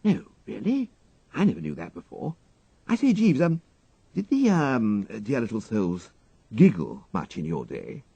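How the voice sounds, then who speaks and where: A man voicing an excitable, flustered young upper-class English gentleman with an exclamatory manner and dated slang, in an audiobook. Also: fairly low pitch, unhurried, gravelly, husky, no echo, pitched above the performer's own voice, full character voice. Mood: bashful, uneasy.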